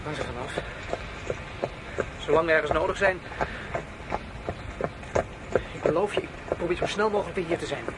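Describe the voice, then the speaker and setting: A tender young horseman in a radio drama, played by a man, speaks softly and intimately in a fairly high male voice. Clear background noise surrounds him. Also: fast talker, close to an everyday voice. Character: young male horseman, tender, courting, mind-reading traveller. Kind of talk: Dutch radio drama acting.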